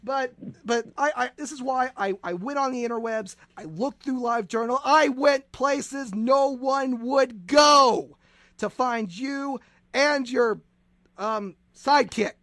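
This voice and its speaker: A man's voice: extremely high pitch, slow, a bit bright, no echo, clean studio sound. A man hosting a comedic radio interview, bombastic and mock-dramatic, often stammering and repeating his words. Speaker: radio show host.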